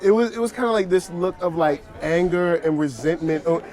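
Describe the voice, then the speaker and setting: A man's voice: high-pitched, fairly even intonation, a little fast, a little mellow, soft background music. A man hosting a convention panel who encourages his guests and keeps them talking with questions. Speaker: host. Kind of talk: casual panel chat.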